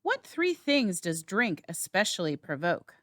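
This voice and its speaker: A woman's voice: lowish voice, sing-song, measured pace, a bit bright, dry recording, studio quality. A woman playing a loyal, courteous nobleman in a theatrical, declamatory Shakespeare reading. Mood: courteous, teasing. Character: earnest Scottish nobleman, loyal and courteous, passionate.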